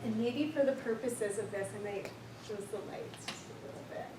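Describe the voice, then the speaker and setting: A woman counsellor with a quiet voice leading a slow, gentle guided meditation for a workshop audience. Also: fairly high pitch, background noise. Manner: soft, slow, gentle and unhurried. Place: large echoey room with an audience. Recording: echoey room recorded from far off.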